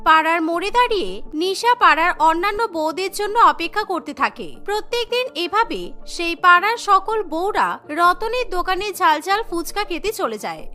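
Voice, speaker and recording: A woman's voice: extremely high pitch, pushed and bright. A woman giving scripted narration for a cartoon story.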